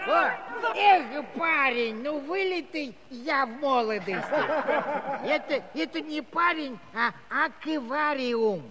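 Comic village grandad: reedy-high, slow and rambling, folksy exclamations, loud crowd behind. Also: male voice, animated, heavily acted. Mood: amused admiration. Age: older. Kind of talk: theatrical musical comedy acting.

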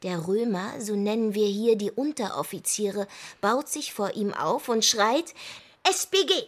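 An actress playing a brooding, defiant daughter who pines for her twin brother, in intimate, husky radio drama acting. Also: medium pitch, lively intonation, measured pace. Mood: reading, animated. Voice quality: husky, breathy.

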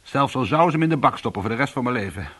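An actor playing a weary, defensive but soothing ex-convict in a radio drama, with a husky voice. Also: male voice, medium pitch, animated, racing, a bit bright. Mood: earnest. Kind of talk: radio drama acting and first-person narration.

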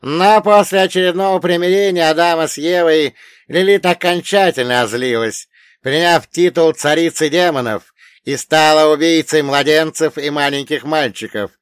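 A man voicing a wise, centuries-old dead grandfather in an audiobook, telling old lore in an emphatic, drawn-out, lecturing way. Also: on the high side, clean tone, no room sound, studio quality, voice pushed up, close to an everyday voice. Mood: grave, emphatic. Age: older. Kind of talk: audiobook character voice.

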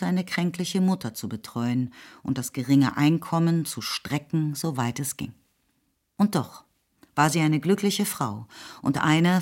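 A woman narrating a period novel for a radio play in a measured, wry, literary manner. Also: low voice.

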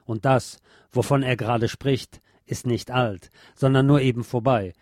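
A man giving a measured, solemn scripted reading of literary prose as narrator, articulating clearly. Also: mid-range voice, fairly even intonation, a little mellow, dry recording, studio quality. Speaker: narrator and reader.

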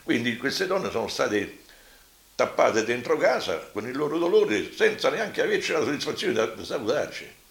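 A man's voice: mid-range voice, sing-song, moderate pace, vocal fry. An older man with a Roman accent giving spoken testimony as an eyewitness. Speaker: witness recalling wartime.

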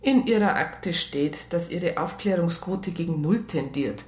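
An actress playing a strict police psychologist who narrates a radio crime drama, matter-of-fact. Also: female voice, lowish voice, lively intonation, a little slow. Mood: stern, formal. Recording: roomy radio play studio sound.

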